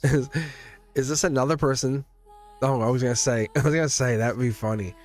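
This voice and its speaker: A man's voice: mid-range voice, fairly even intonation, a little fast, a little mellow, soft background music. A man giving a live-streamed tarot reading, chatty and teasing, laughing often as he talks to his viewers. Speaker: tarot reader.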